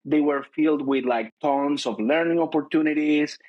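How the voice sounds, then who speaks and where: A man from Chile with a Chilean Spanish accent, a guest telling his career story in a relaxed interview, with a lot of fillers and restarts. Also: on the high side, moderate pace, no room sound, muffled recording. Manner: thoughtful, many fillers and restarts. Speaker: guest, finance director. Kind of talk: casual interview chat.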